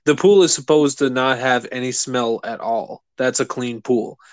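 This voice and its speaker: A man co-hosting a casual podcast, rambling and animated, swearing freely. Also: medium pitch, normal speed, no echo. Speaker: podcast co-host. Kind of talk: casual chat.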